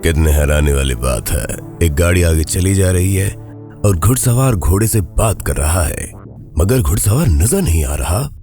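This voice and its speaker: A man playing an excitable, greedy, scheming stranger in a children's cartoon story. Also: deep voice, soft background music. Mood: amazed.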